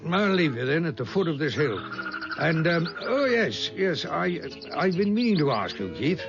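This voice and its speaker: An actor playing a genial, sly older colonel in a 1970s radio drama, hearty, fussy and coaxing. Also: male voice, fairly high pitch, big pitch swings, moderate pace. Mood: jovial. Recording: muffled 1970s radio broadcast with music.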